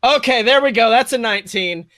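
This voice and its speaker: A man's voice: extremely high pitch, fairly quick, sharp tone, no room sound, studio quality. A young man casually narrating a tabletop game as game master, playful, exclamatory and sweary. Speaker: game master and podcast host.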